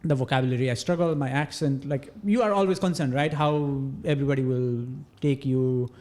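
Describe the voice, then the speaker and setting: Soft-toned Nepali man, hesitant and reflective. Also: medium pitch, fairly quick. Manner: thoughtful, hesitant, many fillers like "uh" and "you know". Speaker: podcast guest. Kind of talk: casual interview conversation.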